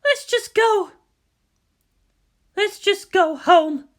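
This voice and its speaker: A man's voice: very high-pitched, very slow, a bit bright, pitched above the performer's own voice, heavily acted. A man voicing an anguished, outraged woman military commander in a dramatic story reading. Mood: weary.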